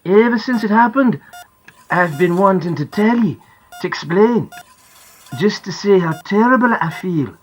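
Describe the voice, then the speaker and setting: A woman's voice: medium pitch, animated, unhurried, close to an everyday voice, rough recording. A woman voicing an upset, apologetic Scottish father in an audiobook, speaking earnestly with a wobbly, pleading delivery. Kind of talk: audiobook character acting.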